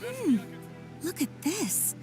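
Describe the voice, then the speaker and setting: A woman's voice: very high-pitched, sing-song, drawn-out words, over music, exaggerated voice. A woman playing a chatty, curious townsperson in an anime game. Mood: surprised.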